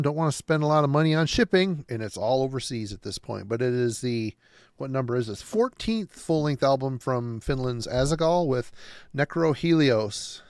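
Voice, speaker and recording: A man's voice: on the high side, smooth, no echo. Laid-back rambling man, dry recording. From United States. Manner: loose, enthusiastic, rambling with fillers and mild swearing. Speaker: podcast guest. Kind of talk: casual podcast chat about metal albums.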